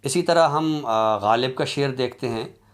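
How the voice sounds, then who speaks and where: A man with an Urdu accent giving a formal academic lecture on literature, speaking in a measured, deliberate way with pauses and drawn-out fillers. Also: mid-range voice.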